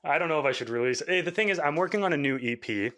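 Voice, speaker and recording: A young man, a musician from Canada, talking casually in an interview, rambling with lots of fillers and quick laughs. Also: a little high, animated, fairly bright tone. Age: young adult. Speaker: musician guest.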